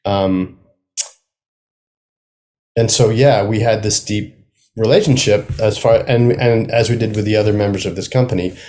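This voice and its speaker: Middle-aged man, low voice, halting delivery. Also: fairly even intonation, dark tone. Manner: halting, searching for words, self-deprecating humor. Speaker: guest, playwright. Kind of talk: casual interview conversation.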